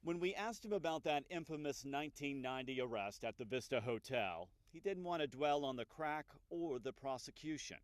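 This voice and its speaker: A man's voice: medium pitch, lively intonation, moderate pace, hardly any pauses. Male TV news anchor reading a report. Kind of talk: scripted news narration.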